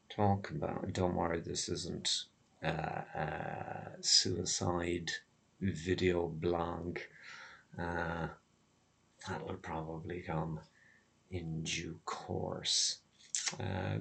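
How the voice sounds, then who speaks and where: A man speaks in a very low, husky voice that is hoarse and prone to breaking. His delivery is extremely slow, with halting gaps between phrases. He sounds weary and sardonically gloomy as he rambles alone in a personal vlog. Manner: halting, with long pauses. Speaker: vlogger. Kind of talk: rambling personal monologue to camera.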